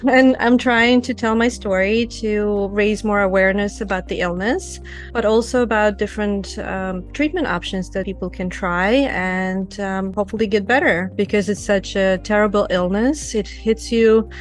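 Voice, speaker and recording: A woman in her forties from Ukraine, a podcast guest, speaking in a soft, measured way with many fillers. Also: medium pitch, soft background music. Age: 40s. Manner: soft-spoken, measured, with frequent "you know" and "um". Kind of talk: conversational interview.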